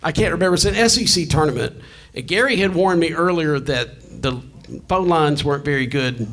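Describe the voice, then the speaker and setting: A man's voice: fairly high pitch, expressive, fairly quick, a bit bright. A man casually moderating a live panel at an event.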